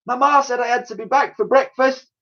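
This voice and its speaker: Guy putting on a freaked out cop from a kids book, way high voice, indignant, muffled audio. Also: steady tone, a little fast, a bit bright. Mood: panicked. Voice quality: high, shrill. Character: panicking, indignant policemen facing a monster. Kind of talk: storybook character voices. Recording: dull, lo-fi recording.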